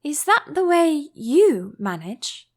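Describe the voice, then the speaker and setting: A curious young storybook girl, played by a woman, with a light, very high voice that rises and falls freely. She is polite, gentle and a little cautious. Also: big pitch swings, slow pace, full character voice. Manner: gentle, earnest.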